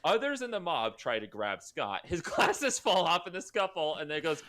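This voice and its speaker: A woman's voice: on the low side, big pitch swings, moderate pace, fairly bright tone. A woman reading stage directions at a casual table read with friends, giggly and often breaking into asides.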